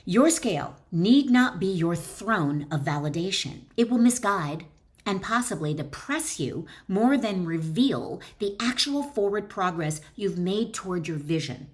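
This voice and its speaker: A woman speaking as an author and wellness teacher, addressing the listener directly in emphatic, deliberate phrases as she instructs and reads from her book. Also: mid-range voice. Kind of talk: instructional talk mixed with expressive reading aloud from own book.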